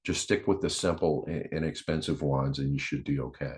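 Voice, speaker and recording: A man with a very deep voice presents an informal demonstration. He speaks in an instructional way to his viewers, often doubling back on words as he explains how things work. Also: darkish tone, a little hiss or hum. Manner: halting, repeats words while explaining.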